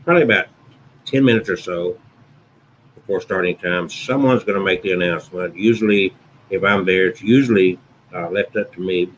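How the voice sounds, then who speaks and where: A man who works as a wedding officiant, talking through the usual order of a ceremony in an unhurried, conversational way, often restating his points. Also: slight background noise, poor audio. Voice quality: booming voice. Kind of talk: instructional talk to camera.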